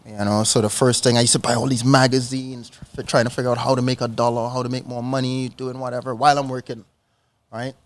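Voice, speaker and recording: A man's voice: mid-range voice, flat delivery, fast talker. A man from Trinidad and Tobago, a podcast guest speaking casually in short phrases and often checking in with the listener. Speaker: podcast guest, financial educator. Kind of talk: casual personal storytelling in an interview.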